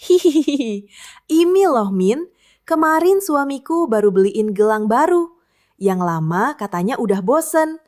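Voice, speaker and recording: A woman's voice: a little high, expressive, a little slow, a little mellow. A woman voicing a boastful, gossiping village neighbor who teases and giggles in a showy way.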